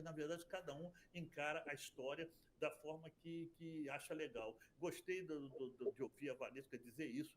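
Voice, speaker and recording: A man's voice: on the high side, a little hiss or hum, poor audio. A Brazilian man giving a rambling interview answer about radio drama, often restarting his sentences. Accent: Brazilian Portuguese. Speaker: guest, radio drama producer.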